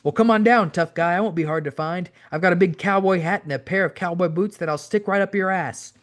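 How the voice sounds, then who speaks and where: Man putting on a high, phony prankster wrestler. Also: fairly quick, lightly acted. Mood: taunting. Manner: phony, over-exaggerated. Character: cheery, mischievous prankster wrestler needling people.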